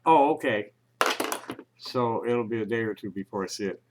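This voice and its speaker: A man making a casual phone call, talking informally in a rambling, halting way. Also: on the low side, background noise. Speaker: caller.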